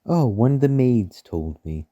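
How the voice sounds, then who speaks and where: A man's voice: mid-range voice, expressive, unhurried, dark tone, dull audio, slight background noise, close to an everyday voice. A man playing a smug, arrogant, menacing rich mansion owner with a haughty, sneering delivery in an amateur radio play. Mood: indignant.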